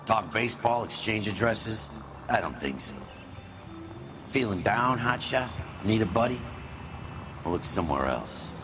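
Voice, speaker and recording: A man playing a gruff, sarcastic, world-weary older detective in a video game, with curt delivery. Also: on the low side, expressive, unhurried, pauses often, music in the background. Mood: sarcastic, bitter. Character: gruff, bitter, hardboiled older male arson detective partner. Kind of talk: cinematic video game acting. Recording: noisy game audio capture.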